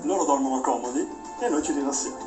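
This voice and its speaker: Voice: medium pitch, fast. An announcer reading scripted advertising narration, heard from a television. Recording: echoey distant television playback with music.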